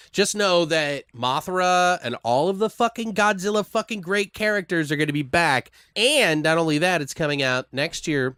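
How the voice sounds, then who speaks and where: Animated, bright-voiced man chatting about movies. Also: a little high, clear, resonant tone, dry recording, studio quality. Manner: excitable, freely swearing fan talk. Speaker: podcast co-host. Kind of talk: casual movie chat.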